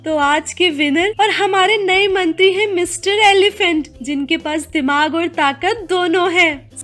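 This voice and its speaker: A very high-pitched, commanding tigress queen from a cartoon fable, acted out by a woman. She is clever and sarcastic, quick to scold her subjects. Faint music plays underneath. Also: a bit bright. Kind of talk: animated animal fable dialogue.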